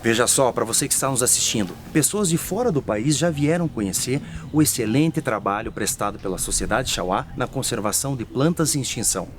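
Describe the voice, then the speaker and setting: Lively Brazilian reporter, upbeat delivery. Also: male voice, mid-range voice, brisk, few pauses, noisy background. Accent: Brazilian Portuguese. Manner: promotional, addresses viewers directly. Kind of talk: field report and interview. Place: outdoors and in a lab at a rural nursery.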